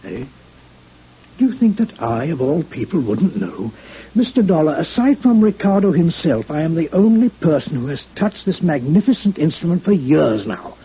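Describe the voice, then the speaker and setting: A man's voice: fairly high pitch, big pitch swings, normal speed, husky, heavily acted. A man playing an indignant, snobbish violin maker in an old-time radio drama, with a pompous, fussy, stammering manner. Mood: offended. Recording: dull old radio recording.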